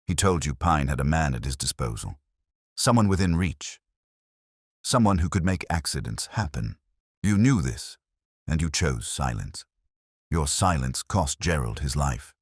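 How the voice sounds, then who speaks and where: Audiobook detective, man performing: commanding and unshakable, bottomless husky register, steady formal accusations. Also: rumbling low, no room sound, clean studio sound, exaggerated voice. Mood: accusing. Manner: steady, cold, formal, deliberate.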